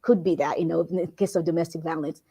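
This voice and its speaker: Guest: woman from Congo, warm dark tone, earnest and reflective, restarts phrases. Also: lowish voice, fairly even intonation, quick pace, slightly rough. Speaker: guest, workplace wellbeing consultant. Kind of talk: conversational interview answer.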